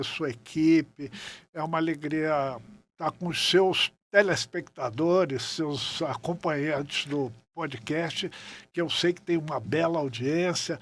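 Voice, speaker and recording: A man, telling anecdotes conversationally as a podcast guest. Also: a little high. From Rio de Janeiro, Brazil. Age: 70s. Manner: conversational storytelling, often checks in with "né". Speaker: podcast guest, journalist and writer. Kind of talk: casual interview, reminiscing anecdotes.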